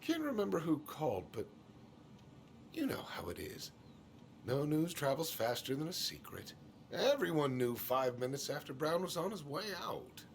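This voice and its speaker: An actor playing an evasive, folksy middle-aged small-town store boss, speaking hesitantly in naturalistic game dialogue. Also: male voice, a little high, huge pitch swings, normal speed, creaky, a little hiss or hum, full character voice. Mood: guarded.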